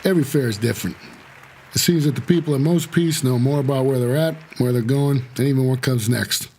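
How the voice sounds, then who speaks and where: Gravelly, world-weary noir cabbie played by a man. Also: mid-range voice, a little fast, a crowd in the background, background noise, lightly acted. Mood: wry, weary. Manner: hard-boiled, laconic, plain-spoken. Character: world-weary, wry male cab driver for the dead. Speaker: narrator and lead character. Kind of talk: noir audio drama narration and dialogue.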